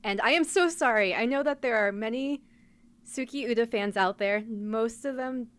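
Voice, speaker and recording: A woman's voice: on the high side, moderate pace, clean tone. A woman co-hosting a podcast, chatting casually in an apologetic, giggly and hedging manner. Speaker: podcast co-host. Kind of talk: casual chat about anime.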